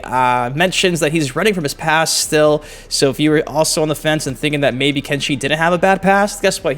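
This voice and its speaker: Higher-voiced man doing casual game commentary. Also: fairly bright tone. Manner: informal, slangy, enthusiastic fan talk. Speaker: gaming video host.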